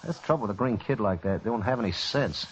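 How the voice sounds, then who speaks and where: An actor playing a shifty, defensive saloon gambler in a radio western, with a folksy, evasive manner. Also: male voice, on the low side, lively intonation, fast, lightly acted. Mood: casual, guarded. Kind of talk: old-time radio western acting. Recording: dull, noisy old radio broadcast recording.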